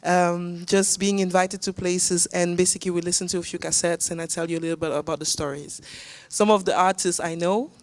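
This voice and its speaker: A woman's voice: on the low side, fairly even intonation, fairly quick, few pauses. A woman from Cameroon presenting a listening session to an audience, talking casually with many fillers and restarts.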